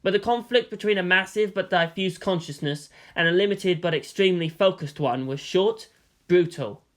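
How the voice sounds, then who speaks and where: A narrator giving a dramatic, expressive reading of scripted fan fiction. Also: mid-range voice, moderate pace. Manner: expressive, theatrical.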